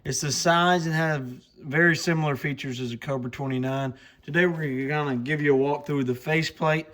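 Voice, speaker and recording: A man's voice: fairly high pitch, fairly even intonation, a little fast, a bit bright. A man hosting a casual product walkthrough, talking in a plainspoken, informal way. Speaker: radio channel host.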